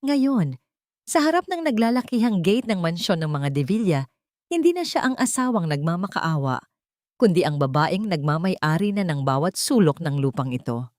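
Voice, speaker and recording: Woman narrator with a low voice, dry sound. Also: a little mellow, no room sound. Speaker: narrator of Tagalog love stories. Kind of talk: scripted dramatic story narration.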